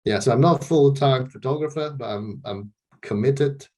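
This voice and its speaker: A man's voice: mid-range voice, normal speed, darkish tone. A middle-aged man from Malaysia, a guest on a video call interview, talking casually about his photography with many restarts and repeated words.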